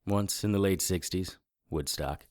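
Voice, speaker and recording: Deep, deadpan hard-boiled detective. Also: male voice, a little slow, no echo, clean studio sound. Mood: sardonic, unimpressed. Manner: wry, deadpan. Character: hard-boiled, sardonic male occult investigator. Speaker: narrator and lead character. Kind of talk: first-person noir narration in audio drama.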